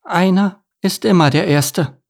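A man's voice: sits high, expressive, moderate pace, gravelly, slightly rough, voice pushed up, heavily acted. A man voicing an anxious, overcautious young boy duckling in a storybook, earnest and brooding. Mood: stubborn, earnest. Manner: brooding, fretful. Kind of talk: storybook character voice.

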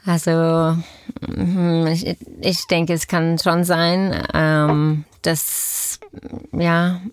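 A woman's voice: low voice, little pitch movement, very slow, clear, resonant tone, no room sound. A woman from Australia, a teacher, speaking German with an Australian accent, hesitant and full of fillers. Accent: Australian English. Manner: hesitant, many fillers, non-native grammar. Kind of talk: casual talk show chat.

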